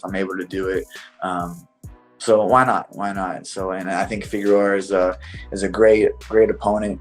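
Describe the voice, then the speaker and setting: Low, even-toned male fighter. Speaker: professional fighter. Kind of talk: casual interview answer.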